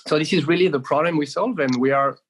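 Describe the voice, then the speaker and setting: A man's voice: a little high, fast, poor audio. A man in his thirties, a company founder, explaining his business in an interview with frequent fillers and repeats. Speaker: startup founder and guest.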